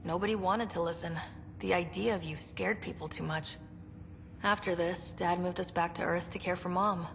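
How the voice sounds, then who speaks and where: A woman's voice: lowish voice, steady tone, moderate pace, a bit bright. An actress playing a young female explorer in a video game, casual, wry and direct. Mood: reflective. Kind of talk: scripted video game dialogue. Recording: reverberant space, clear background noise.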